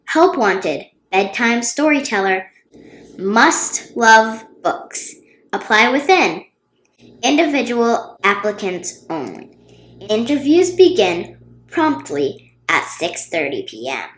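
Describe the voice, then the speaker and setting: A woman's voice: on the high side, sing-song, slow, fairly bright tone, slight background noise, heavily acted. A woman voicing a bossy little storybook girl, announcing emphatically with stretched-out words. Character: bossy little girl posting job notices. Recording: roomy, echoey sound.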